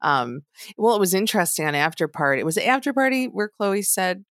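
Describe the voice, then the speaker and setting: A woman's voice: medium pitch, expressive, fairly quick, a bit bright, no echo, clean studio sound. A woman co-hosting a podcast in casual chat, trailing off into asides and fillers as she talks. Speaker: podcast co-host.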